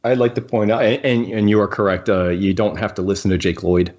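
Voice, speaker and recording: A man with a deep voice talks casually as a podcast host. He doubles back on words as he works through his points. The recording is somewhat dull and lo-fi. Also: steady tone, a little fast, a little mellow. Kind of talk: casual discussion of books.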